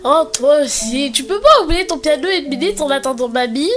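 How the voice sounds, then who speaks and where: An inquisitive little cartoon girl played by a woman speaks up boldly in an extremely high voice. Faint music runs behind her film dialogue. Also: lively intonation, a little fast, a bit bright. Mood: animated, teasing.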